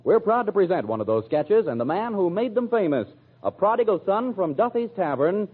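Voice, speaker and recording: A man's voice: high voice, very animated, brisk, slightly rough. A man announcing formally from a script in the style of 1940s radio, presenting a program. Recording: thin, phone-like old radio broadcast.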